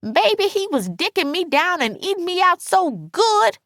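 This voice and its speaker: A woman's voice: extremely high pitch, big pitch swings, fairly bright tone, no room sound, clean studio sound, voice pushed up, heavily acted. A woman voicing an enraged, betrayed, foul-mouthed woman in a dramatic audiobook, taunting and indignant, leaning hard on her words. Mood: gloating, spiteful. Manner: taunting, indignant, drawn-out emphatic delivery. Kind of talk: dramatic audiobook character dialogue.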